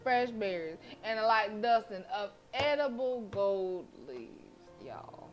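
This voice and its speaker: A woman's voice: medium pitch, expressive, slow pace, highs cut off. A woman live streamer reading a visual novel aloud expressively, breaking in with slangy, casual interjections. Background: game music.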